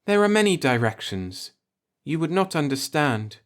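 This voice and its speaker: A mournful old alien man, acted out by a male narrator, speaks in a raised, swooping pitch. He is proud and devout, with a bitter, formal solemnity suited to a science fiction storybook. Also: very animated, moderate pace. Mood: resigned, stubborn. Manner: formal, solemn, grieving speech.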